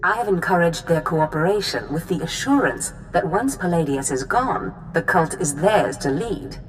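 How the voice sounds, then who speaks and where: An actress playing a composed, imperious Sith master who schemes, in scripted video game dialogue. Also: female voice, fairly low pitch, lively intonation, moderate pace, soft background music, natural voice, low-quality recording. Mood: coolly calculating.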